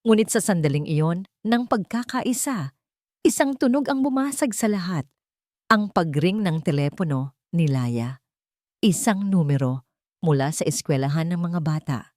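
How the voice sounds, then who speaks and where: Female audio-story narrator, low register, dramatic scripted delivery, very dry recording. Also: animated, a little slow, darkish tone, dry recording.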